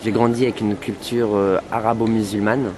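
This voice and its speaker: A man from France speaking calmly and deliberately with a group of young people, repeating his phrases for emphasis. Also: on the low side. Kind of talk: frank group discussion. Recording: noisy room with crowd chatter. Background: chatter of a group of youths.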